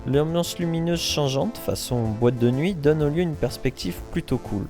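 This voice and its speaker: A man hosting a travel vlog, narrating in a relaxed, wry tone that speaks straight to the viewer. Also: fairly high pitch, moderate pace, music in the background. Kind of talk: voice-over narration.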